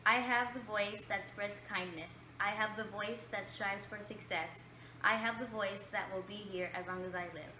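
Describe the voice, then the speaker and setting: A woman reading a scripted documentary narration in a measured, read-aloud way. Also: mid-range voice, fairly even intonation, sharp tone, a little hiss or hum.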